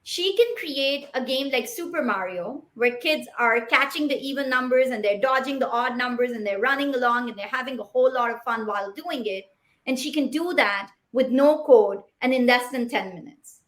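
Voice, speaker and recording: A woman in her thirties, originally from Pakistan with Pashto as her first language, pitches with bright enthusiasm. Her voice sits a little high, and she leans on rhetorical questions to pull listeners in. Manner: upbeat, persuasive, rhetorical questions. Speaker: startup founder pitching. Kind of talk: rehearsed pitch.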